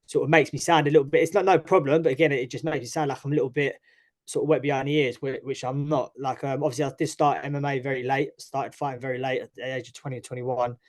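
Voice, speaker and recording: A man's voice: mid-range voice, steady tone, fast. A young man with an East London accent, a fighter talking casually as an interview guest, full of restarts and fillers. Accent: East London English. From East London, England. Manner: chatty, frequent restarts and "obviously". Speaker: guest, mixed martial arts fighter.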